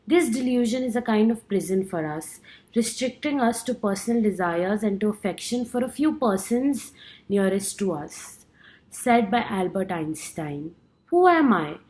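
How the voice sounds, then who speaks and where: A woman's voice: mid-range voice, lively intonation, measured pace, darkish tone, rough recording. A young woman from India with an Indian accent, earnestly reading her class speech with small stumbles. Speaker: student.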